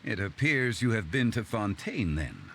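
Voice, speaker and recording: A man playing a composed, erudite gentlemanly scholar in a video game, formal, measured and courteous. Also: on the low side, wildly swooping pitch, vocal fry, a little hiss or hum, exaggerated voice. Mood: courteous, calm. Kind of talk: English video game voice acting.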